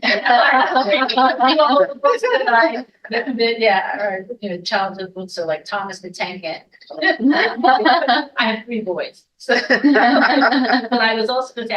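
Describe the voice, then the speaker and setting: This is a woman who talks very slowly and hesitantly, laughing and restarting as she introduces herself. She is recorded from across the room, so her voice sounds set back. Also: on the high side, a bit bright, far from the mic, rough recording. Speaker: educator at a workshop.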